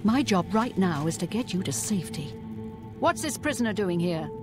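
Actress as stern, brisk guard captain. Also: female voice, mid-range voice, big pitch swings, over music, natural voice. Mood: stern urgency. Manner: brisk, clipped, authoritative. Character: stern, commanding female imperial guard captain.

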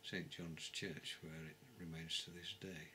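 An older man sits on a sofa at home and explains history into a close webcam. His voice is low, and he speaks in a measured way with pauses as he searches for words. Clear background noise runs under the recording. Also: level tone. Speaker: travel vlogger. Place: living room, seated on a sofa.

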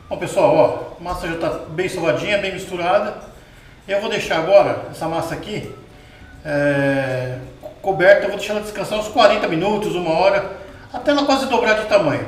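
A man with a Brazilian Portuguese accent hosting a cooking show, explaining each step in a relaxed, chatty way and asking viewers questions as he works. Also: high-pitched, moderate pace, long pauses. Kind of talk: casual cooking demonstration. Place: home kitchen with tiled wall, indoors. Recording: roomy kitchen sound from a distance.

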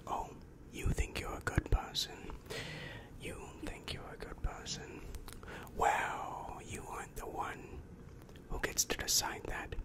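A breathy whisper, unhurried and right up on the mic, belongs to a weary demon counselor in hell, played by a young man. He reassures in a patronizing, exasperated way, repeating himself as he goes. The style is intimate ASMR roleplay. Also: close to an everyday voice. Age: young adult. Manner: slow, repetitive, patronizing reassurance. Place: small dim room indoors. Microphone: large microphone very close to mouth.

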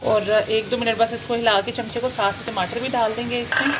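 A woman hosting her home cooking channel, explaining each step in a homely way with frequent filler pauses. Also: a little high, fairly even intonation, over loud music. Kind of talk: home cooking demonstration voice-over.

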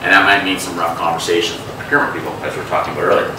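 A middle-aged man leading an interactive talk, animated, gesturing and filling his speech with asides and fillers. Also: sits low, very fast. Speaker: speaker. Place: hotel meeting room with a small group. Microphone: lapel mic, filmed from across the room. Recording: echoey room, from a distance, faint hiss.

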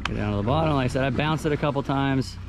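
A man's voice: medium pitch, quick pace. A young man narrating his offshore fishing trip in a casual, laid-back way, talking to an action camera on an open boat. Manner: laid-back, excitable when a fish bites. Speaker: fishing guide and vlogger. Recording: loud wind and boat noise. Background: wind, water and boat engine.

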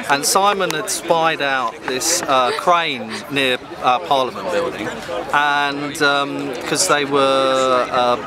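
An English man in his thirties recounting stories casually outdoors, in an informal, hesitant manner. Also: mid-range voice. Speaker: activist interviewee. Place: open field outdoors near a crowd. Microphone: handheld camera at close range. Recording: outdoor crowd and traffic noise.

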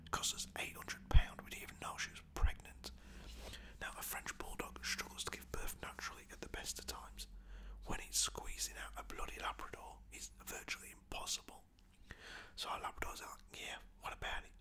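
Casting: British-accented whisperer for chatty ASMR storytelling, cheeky and slangy, breathy, very sharp and sibilant tone. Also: brisk. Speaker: storyteller talking about own pets.

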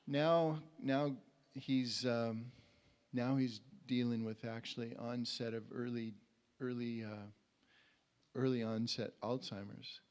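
Male preacher, 60s, medium pitch, informal and conversational, halting delivery with repeated words, handheld mic. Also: steady tone, a little mellow, clean tone. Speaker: preacher at a community church. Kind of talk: informal sermon with personal anecdotes. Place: indoor church stage. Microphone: handheld microphone.

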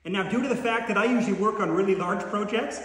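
A man's voice: high voice, quick pace, a bit bright. Upbeat man in an echoey woodshop. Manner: explanatory, upbeat, step-by-step. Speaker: woodworking channel host. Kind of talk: scripted product demonstration. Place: large woodworking shop indoors. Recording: echoey shop reverb.

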